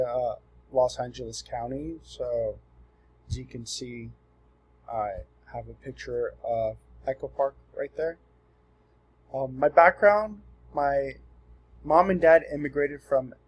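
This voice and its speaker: Mellow, soft-edged young man from Southern California. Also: mid-range voice, slightly rough, poor audio. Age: 20s. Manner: relaxed and earnest, with frequent ums and restarts. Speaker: student presenting himself. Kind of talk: casual self-introduction for a class assignment.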